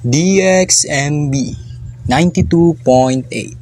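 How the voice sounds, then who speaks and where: A Filipino-accented man announces a radio show from a script. His voice is fairly high and slow-paced, with faint music behind it. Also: a little mellow. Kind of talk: scripted station ident and show intro.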